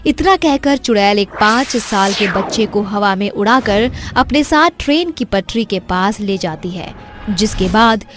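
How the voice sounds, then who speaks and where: A woman narrates a scripted tale in a storytelling style. Her voice sits at a medium pitch, with faint music playing underneath. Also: lively intonation, unhurried, fairly bright tone.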